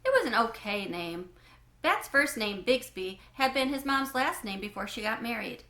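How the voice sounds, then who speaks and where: A woman narrating a children's book in an expressive, animated way, with clear phrasing. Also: fairly high pitch, normal speed, echoey room. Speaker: read-aloud host.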